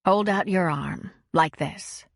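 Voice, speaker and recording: A woman voicing a calm, patient counselor who asks gentle, probing questions. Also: on the low side, takes it slowly, vocal fry, no echo, studio quality, exaggerated voice. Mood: caring.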